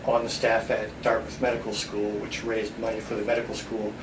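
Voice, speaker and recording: A man's voice: on the low side, completely flat delivery, a little fast. A man from upstate New York giving informal answers as a college department chair being interviewed. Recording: roomy echo, distant mic, background noise.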